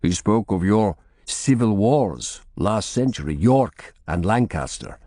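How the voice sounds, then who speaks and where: A man voicing a gentle, grave monk in an audiobook, with a measured manner. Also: on the low side, big pitch swings, no room sound, studio quality, heavily acted. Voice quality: lisping. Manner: slow, soft, measured. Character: gentle, grave foreign monk with dark face.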